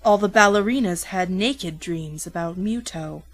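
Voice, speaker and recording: A woman's voice: lowish voice, a little slow. A woman narrating a rhymed storybook parody in a theatrical, playful way, drawing out words for dramatic emphasis.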